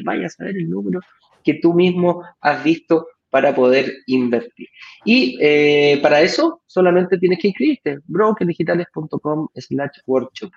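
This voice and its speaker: A man's voice: a little high, gravelly. A man with a Chilean accent speaking casually as co-host of a live show. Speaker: co-host and business partner. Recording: dull, lo-fi audio.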